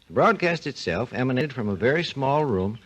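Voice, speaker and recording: A man, a research engineer and guest, explains his subject in a measured, lecturing way on a scripted 1940s radio talk program. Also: medium pitch, big pitch swings, a bit bright, poor audio.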